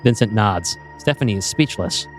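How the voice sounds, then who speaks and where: A man's voice: on the low side, animated, normal speed, faint music behind. A man narrating a scripted screenplay in the present tense with a dramatic storytelling manner.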